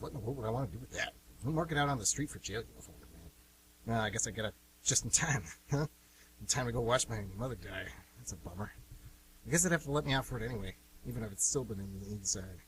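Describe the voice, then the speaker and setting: Man acting fast-talking cocky young jailbird, distant mic. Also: mid-range voice, fairly bright tone, lightly acted. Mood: bummed, rueful. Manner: flippant, slangy, casual. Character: cocky, slangy young male jail inmate, flippant. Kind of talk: radio play monologue. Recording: distant mic with light hiss and hum.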